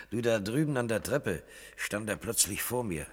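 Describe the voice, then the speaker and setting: An actor playing a gossipy, plain-spoken veteran court constable in a German radio play, talking in a folksy way. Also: male voice, on the low side, slightly rough, exaggerated voice. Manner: colloquial, folksy. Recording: long reverberant hall.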